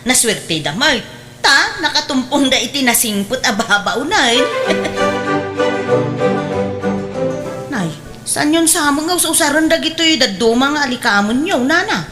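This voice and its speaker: A woman's voice: high-pitched, big pitch swings, normal speed, over music. A woman playing a cheerful, cheeky young housemaid in an Ilocano radio drama. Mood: chatty.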